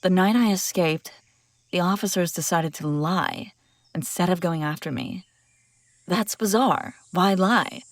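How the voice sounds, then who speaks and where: A woman's voice: lowish voice, measured pace, no echo, studio quality. A woman playing a commanding, wry escaped princess in an audio roleplay, speaking dramatically and expressively straight to the listener. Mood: puzzled, probing. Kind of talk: immersive audio roleplay acting, one side of a dialogue.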